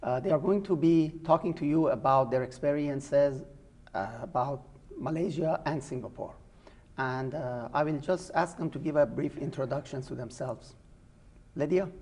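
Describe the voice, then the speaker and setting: A middle-aged man, a business school dean, hosting an informal educational interview in a measured way with frequent hesitations. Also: on the high side, darkish tone.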